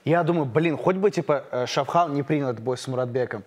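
Fast-talking man telling a story. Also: mid-range voice. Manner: animated storyteller quoting himself. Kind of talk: telling a story in studio chat.

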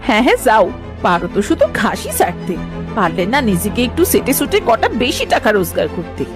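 A woman's voice: mid-range voice, big pitch swings, fairly quick, music in the background, lightly acted. A woman playing a scolding, sarcastic village wife who is never satisfied, in a cartoon story. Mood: sarcastic, nagging. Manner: nagging, sarcastic. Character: scolding, shrewish village wife, always dissatisfied. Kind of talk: cartoon voice acting.

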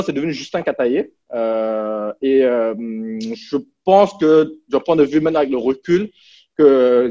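A man's voice: mid-range voice, a little mellow. Hesitant man on a dull video call. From French Guiana. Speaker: interview guest, entrepreneur. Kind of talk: casual interview talk. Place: small plain room indoors.

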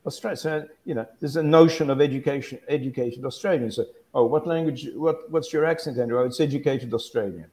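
A man's voice: fairly high pitch, darkish tone. Rambling older man on a video call. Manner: rambling, self-correcting, musing. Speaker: guest academic. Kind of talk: casual discussion on a video call.

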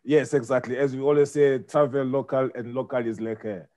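A middle-aged man from Congo, a guest on a video call, explaining things in a measured way. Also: mid-range voice, steady tone, warm tone. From Democratic Republic of Congo. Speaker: guest, property investor. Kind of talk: casual video-call interview. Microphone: video call with earphones.

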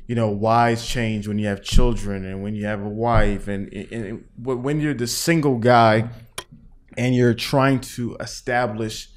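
An American man in his thirties chatting casually as a podcast co-host, circling haltingly toward his point. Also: mid-range voice, steady tone. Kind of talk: casual chat. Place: small indoor room at a table. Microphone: podcast microphone on boom arm.

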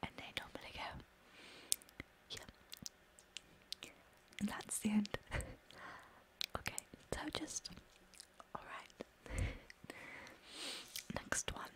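A British woman whispering slowly for an ASMR video, softly repeating single words over and over. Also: poor audio. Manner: soft whisper, slow deliberate repetition of words. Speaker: ASMR creator.

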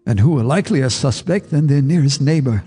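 A man narrating in the first person for a radio drama, measured and grave. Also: medium pitch, lively intonation, warm tone. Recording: radio drama with faint music underneath.

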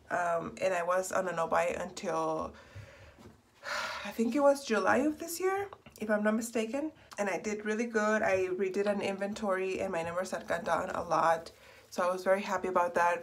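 A young woman, hoarse from a cold and slightly raspy, chatting in a rambling, self-correcting way while doing her makeup in a small bedroom. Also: medium pitch. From Texas. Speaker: beauty vlogger. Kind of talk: casual get-ready-with-me chat. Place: small bedroom in front of a door.

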